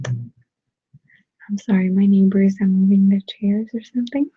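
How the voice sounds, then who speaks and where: A woman speaks in a hushed, very breathy whisper with a Russian accent. She chats gently and unhurriedly in an ASMR style, pausing as she goes. The sound is dry and close, though muffled and thin like a narrow phone band. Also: medium pitch, steady tone, dry recording. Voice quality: soft, breathy, mostly whispered. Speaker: ASMR creator hosting a live stream. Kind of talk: ASMR, casual chat answering viewers. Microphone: sensitive close microphone.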